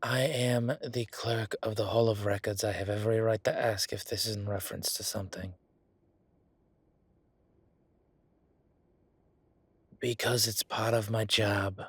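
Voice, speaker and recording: A man plays a male records clerk in an audio roleplay, his voice on the low side. He is defensive and chatty, a lazy bureaucrat who rambles in everyday slang. The sound is close and dry. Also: steady tone, moderate pace, hardly any pauses, husky, no echo. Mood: defensive, put-upon. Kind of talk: solo audio roleplay, conversational acting.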